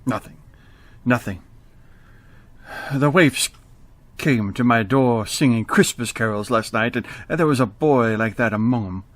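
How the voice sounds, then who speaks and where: A man playing a frightened, remorseful elderly miser in a radio drama, stammering and halting with theatrical emotion. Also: mid-range voice, a bit bright, no room sound, lightly acted. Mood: sorrowful, regretful.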